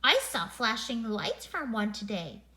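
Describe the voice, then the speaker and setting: Woman voicing D.W., a high, cheeky kid sister. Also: sing-song, unhurried, sharp tone, full character voice. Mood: curious. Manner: insistent, cheeky. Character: bossy, scheming little girl, animal kid sister.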